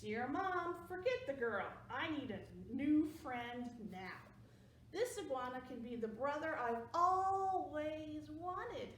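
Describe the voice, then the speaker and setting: A woman's voice: sits high, lively intonation, slow, slight background noise. A woman voicing an eager young boy who writes pleading letters, read aloud from a picture book. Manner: pleading, earnest. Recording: roomy sound from a distance.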